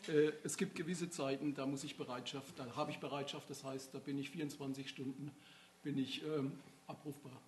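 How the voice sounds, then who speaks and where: A man's voice: medium pitch, steady tone, a little fast. A man called up from the audience, answering questions in brief, hesitant phrases full of fillers. His voice carries the echo of a hall, with faint background noise behind it. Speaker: audience member questioned on stage.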